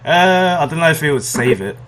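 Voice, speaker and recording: A man's voice: on the high side, expressive, a little fast, a bit bright, a little hiss or hum. A man co-hosting a podcast, chatting casually in a hesitant, agreeable way. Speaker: podcast co-host. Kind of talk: casual chat about comic book movies.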